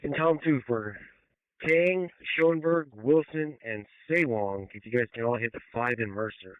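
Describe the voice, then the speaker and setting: A man's voice: mid-range voice, unhurried, clear, resonant tone, dry recording. A man giving brief, directive instructions in police radio traffic over a two-way radio. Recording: noisy phone-like scanner radio with clicks.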